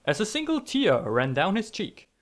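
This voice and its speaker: A man's voice: high voice, wildly swooping pitch, normal speed. A young man narrating scripted comic stories in a theatrical, mock-epic manner, with self-deprecating asides. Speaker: online storyteller.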